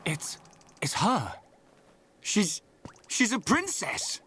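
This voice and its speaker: A man playing an earnest, boyish young hero in a video game, talking in an informal, excitable way. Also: high voice, extremely slow, slight background noise, full character voice. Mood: astonished.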